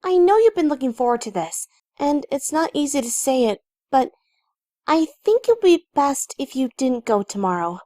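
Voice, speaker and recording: Woman playing an earnest, high-voiced young pony leader. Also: big pitch swings, normal speed, no room sound, clean studio sound. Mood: concerned. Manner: earnest, reasonable, gently firm. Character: sensible, caring, responsible young female unicorn pony leader. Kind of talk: animated fan audio drama.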